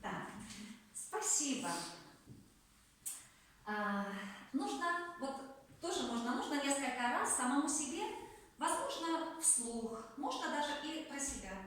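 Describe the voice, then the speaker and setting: A woman's voice: sits high, expressive, slow pace, pauses often, a bit bright, slight background noise. A woman leading a group workshop as a teacher, giving instructions and repeating her questions to the participants. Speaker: teacher leading a master class. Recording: echoey room, distant microphone.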